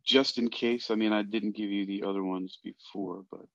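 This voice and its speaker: A man's voice: on the low side, steady tone, normal speed, fairly bright tone. A man moderating a reading, coaching in a casual, helpful way and piecing his sentences together hesitantly, with dull, muffled sound. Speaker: podcast moderator helping the reader.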